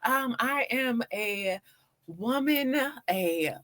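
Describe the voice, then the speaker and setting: A middle-aged woman from Washington, D.C., answering casually as a talk-show guest in an expressive, emphatic, conversational way. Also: on the high side, very slow. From Washington, D.C., United States. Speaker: interview guest, PR agency owner.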